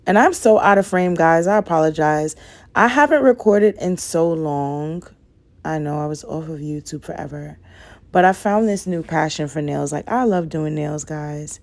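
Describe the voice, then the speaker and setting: A young woman, a little raspy from a cold, chatting loosely through a nail tutorial and saying "like" and "guys" a lot. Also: deep voice, normal speed, darkish tone. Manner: rambling, says "like" and "guys" a lot. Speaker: nail vlogger. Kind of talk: casual chatty tutorial voice-over.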